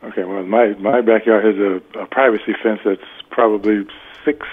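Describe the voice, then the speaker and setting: A man's voice: lowish voice, fairly even intonation, moderate pace, husky. A man in a casual phone conversation over a tinny line, speaking in a hesitant, polite and guarded way. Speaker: homeowner receiving a prank call. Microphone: telephone.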